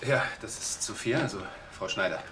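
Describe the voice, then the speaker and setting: An actor playing a guarded church cantor in a TV crime comedy. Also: male voice, on the low side, expressive, fairly quick, background noise, close to an everyday voice.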